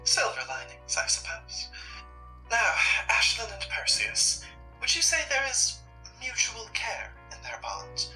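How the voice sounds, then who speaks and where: A woman playing a cold, scheming supernatural curator, haughty, condescending and deliberate in a sardonic, theatrical way. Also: fairly high pitch, very animated, sharp tone, distant mic, soft background music, exaggerated voice, low-quality recording. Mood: smug, calculating. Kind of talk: dramatic roleplay acting.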